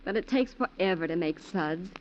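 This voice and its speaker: A woman's voice: fairly low pitch, lively intonation, normal speed, slight background noise, natural voice. A woman playing an everyday housewife, chattily musing aloud in a 1940s radio commercial style. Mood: puzzled. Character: everyday housewife puzzling to herself in kitchen. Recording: muffled 1940s radio recording.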